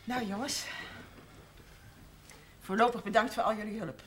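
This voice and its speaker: A high-voiced, motherly middle-aged woman fusses busily over her grown children. The acting is natural, in a 1970s TV drama manner, with a noisy old soundtrack and clattering dishes behind her. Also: steady tone, a little slow, fairly bright tone. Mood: gentle, firm.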